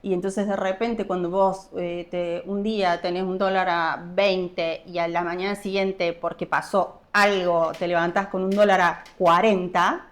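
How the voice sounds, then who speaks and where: A woman from Argentina with an Argentine accent, a podcast guest talking in a relaxed way and pausing often to search for her words. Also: fairly low pitch. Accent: Argentine Spanish. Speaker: guest, property investor. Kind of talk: casual podcast interview.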